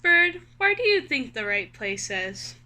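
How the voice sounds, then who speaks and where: A woman's voice: high voice, big pitch swings, measured pace, pushed and bright, heavily acted. A woman voicing an earnest, puzzled male squirrel in a children's storybook, insistent and questioning.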